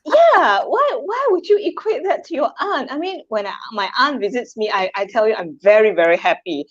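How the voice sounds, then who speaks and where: A woman's voice: a little high, sing-song, normal speed. A woman in her thirties with a Malaysian accent, a guest chatting casually, laughing often and tossing rhetorical questions. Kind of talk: casual chat. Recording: dull lo-fi sound.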